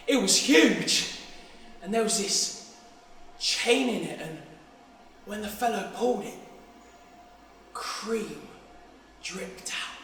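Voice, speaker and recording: A teenage male student actor with a British accent playing a troubled, intense boy obsessed with horses in a dramatic stage monologue, halting and swinging between hushed and explosive. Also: high voice, exaggerated voice. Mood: awed, hushed. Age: teens, about 16. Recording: very echoey hall, distant mic, faint noise.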